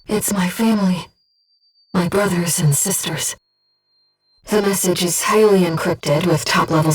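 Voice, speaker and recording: A woman voicing a gentle, composed, earnest disembodied female guide in a video game, speaking in a measured, softly formal and precise way. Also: on the low side, slightly rough, far from the mic, low-quality recording. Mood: tender, solemn. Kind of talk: scripted video game voice acting, mission guidance. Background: music.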